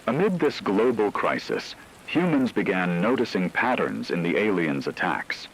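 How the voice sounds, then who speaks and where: A man with a very low voice narrates dramatic fiction. He delivers it solemnly and with measured phrasing, over faint background noise. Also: very animated, poor audio.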